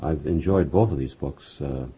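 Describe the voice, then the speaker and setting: Man, 50s, from Houston, interview host, halting, very deep, strongly raspy, dull lo-fi recording. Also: dark tone. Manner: halting, frequent uh fillers. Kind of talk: interview questions.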